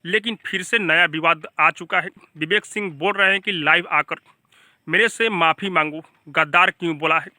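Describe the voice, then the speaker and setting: A man's voice: on the high side, moderate pace, bright, forceful tone, dry recording, studio quality. A man with a Bhojpuri accent giving informal, emphatic gossip news commentary as a channel host, often addressing viewers like brothers.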